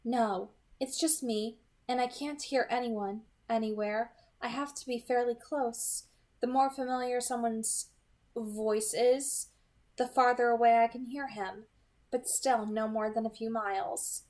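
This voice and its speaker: A teasing, guarded supernatural young woman , played by a woman reader, pitched on the high side. She talks casually, explaining herself like someone with secrets in a storybook romance. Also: fairly even intonation, measured pace, a little mellow, close to an everyday voice. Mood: casual, thoughtful.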